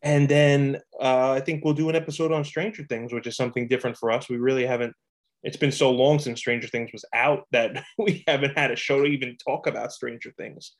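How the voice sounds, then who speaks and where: A man's voice: mid-range voice, fairly even intonation, a little fast. A man co-hosting a podcast in a loose, rambling casual chat, often breaking into laughter. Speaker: podcast co-host.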